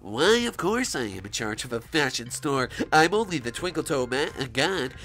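A man's voice: medium pitch, big pitch swings, moderate pace, fairly bright tone. A man voicing a smug, theatrical fashion-store owner with a drawling salesman manner.

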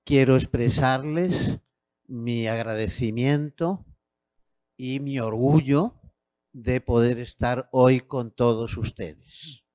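A man in his sixties from Spain, with a Castilian Spanish accent, giving a measured academic lecture into a handheld mic in a university auditorium, with hesitant fillers. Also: mid-range voice, warm tone, dry recording. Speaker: guest lecturer.

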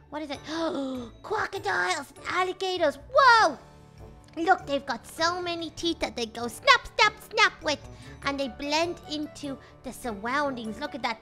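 A woman playing a game as an excitable little kid on a school trip, gushing and narrating everything she sees. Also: high-pitched.

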